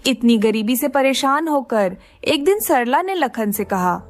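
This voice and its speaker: A woman's voice: high-pitched, animated, unhurried, no echo, faint music behind. A woman reading scripted narration for a children's cartoon story.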